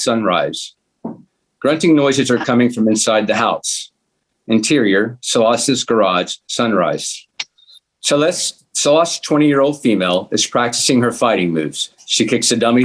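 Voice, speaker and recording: Man reading stage directions, medium pitch. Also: lively intonation, unhurried, low-quality recording. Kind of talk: scripted narration at table read.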